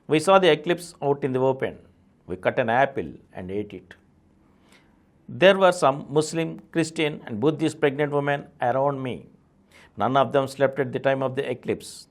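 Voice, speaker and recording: A man with an Indian accent reading a memoir aloud as a presenter of an audio series. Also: mid-range voice. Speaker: audiobook reader, channel presenter.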